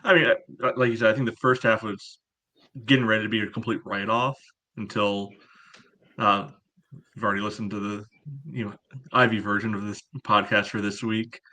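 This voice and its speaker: Male podcast co-host, bright forceful tone, little pitch movement, casual game analysis. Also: steady tone, moderate pace. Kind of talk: casual match analysis chat.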